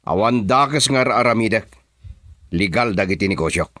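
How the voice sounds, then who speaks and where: A deep-voiced, brooding crime boss. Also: male voice, lively intonation, moderate pace, a bit bright, no room sound. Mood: defensive. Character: shady businessman boss dealing in guns, husband, guarded. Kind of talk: Ilocano radio drama acting.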